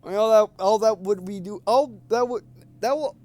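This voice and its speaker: High-voiced man rambling through a video game. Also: normal speed, a little mellow. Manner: rambling, thinking aloud, repeating and restarting phrases. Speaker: gameplay video host. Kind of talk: casual let's play commentary, reading game dialogue aloud.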